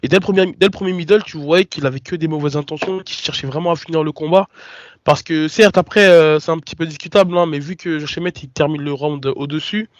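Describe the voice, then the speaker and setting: A French man on a podcast talks in halting bursts and circles back on his words. His voice sits a little high. The sound is dry, close and lo-fi. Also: steady tone, no echo. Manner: hesitant, many restarts and fillers. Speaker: podcast co-host. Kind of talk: casual podcast debate.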